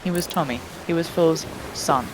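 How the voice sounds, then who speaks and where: A man's voice: fairly high pitch, normal speed. A man reading a story aloud dramatically on a live voice chat, breaking off to swear and chat casually with friends. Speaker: fan fiction reader on a live stream. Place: live-streamed group voice chat. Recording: noisy voice chat, steady background noise.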